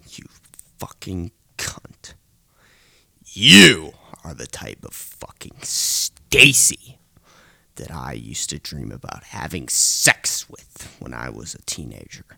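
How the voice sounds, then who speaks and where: Young guy hissing a hateful rant, super slow, low gravelly voice, dry close sound. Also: bright, forceful tone, no echo. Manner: seething, hateful, often hissing in a whisper. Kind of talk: angry whispered rant.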